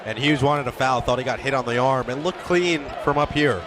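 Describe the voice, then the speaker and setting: A man's voice: mid-range voice, a little fast, a crowd in the background, background noise. A man giving live basketball analysis as colour commentator, with emphatic, punchy phrasing.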